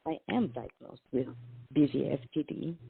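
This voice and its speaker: A woman from Malaysia with a Malaysian accent, speaking as a co-host in a halting way, searching for words. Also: sits low, lively intonation, gravelly, slight background noise. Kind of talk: casual interview chat. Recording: phone-like video call audio.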